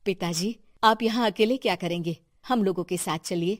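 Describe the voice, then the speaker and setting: A woman voicing a dutiful, calm eldest daughter-in-law, respectful and composed, in a cartoon story. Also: mid-range voice, normal speed, no echo, clean studio sound, natural voice. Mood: caring, respectful.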